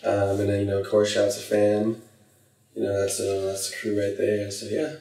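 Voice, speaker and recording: A young man from Hawaii, raised in California, chatting as a podcast guest in a laid-back way, full of "you know" fillers and trailing off quietly. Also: lowish voice, little pitch movement, normal speed, reverberant, distant mic. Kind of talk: casual podcast chat.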